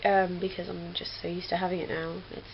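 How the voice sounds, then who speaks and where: A woman's voice: on the low side, little pitch movement, brisk. Young woman chatting in a lo-fi recording. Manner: rambling, chatty, many fillers. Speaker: vlogger. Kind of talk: casual chat. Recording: dull lo-fi webcam audio with faint hiss.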